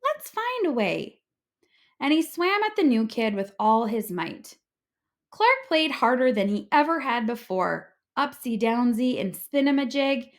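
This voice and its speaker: A woman narrates a children's picture book in a fairly high voice. Her delivery is very slow and clearly paced for young listeners, with lively swings in pitch. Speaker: read-aloud storyteller. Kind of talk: expressive scripted children's story narration.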